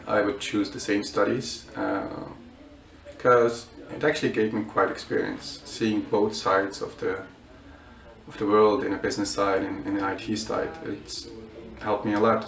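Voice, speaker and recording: Low gravelly man, echoey room. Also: fairly bright tone. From Bulgaria. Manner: hesitant, many fillers and restarts. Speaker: student. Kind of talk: interview answers. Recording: roomy echo, mic at a distance.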